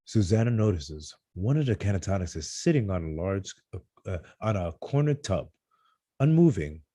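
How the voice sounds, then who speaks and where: Deep-voiced man reading stage directions. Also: very animated, normal speed, warm tone, dry recording, studio quality. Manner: stumbles over words and corrects himself. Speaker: reader of stage directions at a script table read. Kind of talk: scripted narration read aloud live.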